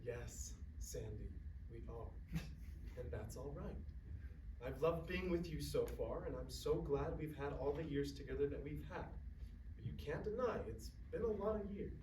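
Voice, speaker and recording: An actor playing a weary, gentle old husband in a staged play reading, calm, patient and devoted. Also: male voice, fairly high pitch, normal speed, lightly acted. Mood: tender. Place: live staged reading in a room. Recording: echoey room, distant mic, faint noise.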